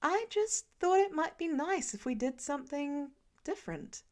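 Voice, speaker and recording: A woman voicing a calm, patient grown woman in a fan audiobook reading, speaking evenly with a dry edge. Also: high-pitched, normal speed, pitched above the performer's own voice.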